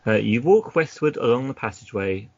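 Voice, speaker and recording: A man acting as game master, reading gamebook narration aloud in an unhurried way and mixing it with casual chat. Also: mid-range voice, animated, darkish tone. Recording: dull lo-fi recording.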